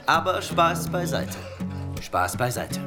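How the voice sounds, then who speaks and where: Slick new boss, satirical radio drama: self-satisfied, animated high voice, speechmaking over guitar music. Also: male voice, slow pace. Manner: pompous, jargon-heavy, self-satisfied. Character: smug, slick new corporate logistics boss, a grown man.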